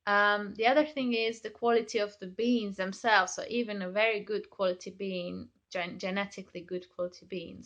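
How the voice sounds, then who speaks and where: A woman's voice: mid-range voice, fairly bright tone. A woman whose first language is Hungarian, a chocolate maker giving an informal explainer, thinking aloud with many fillers and self-corrections.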